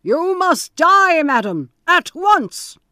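A woman's voice: very high-pitched, very animated, dry recording, studio quality, pitched above the performer's own voice, exaggerated voice. Bluebeard, a cruel nobleman, as a woman reads him. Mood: menacing. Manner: slow, loud, threatening. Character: cruel menacing nobleman husband, male.

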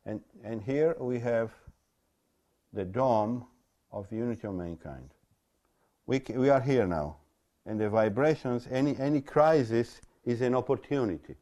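A man with an Italian accent and native Italian, giving an explanatory lecture in a halting, repetitive way with rhetorical questions. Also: mid-range voice, dark tone. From Italy. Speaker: religious lecturer. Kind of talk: explanatory talk to an audience.

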